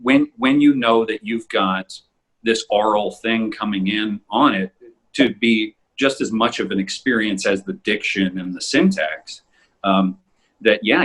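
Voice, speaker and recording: Husky low-voiced man chatting casually. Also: a little slow, fairly bright tone, low-quality recording. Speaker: audio drama podcast co-creator. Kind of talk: casual discussion of their own work.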